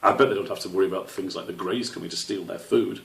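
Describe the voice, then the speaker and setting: A man's voice: deep voice, fast, vocal fry, roomy sound, distant mic. A man voicing a young red squirrel boy in a storybook, earnest, worried and insistent.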